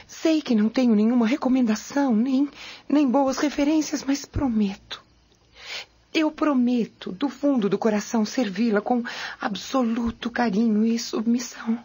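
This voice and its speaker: A woman playing a frail, humble servant in a radio drama, hesitant and pleading, with a breathy husky voice. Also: on the high side, measured pace, mellow. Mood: meek, pleading. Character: frail humble suffering female servant, feigning meek devotion.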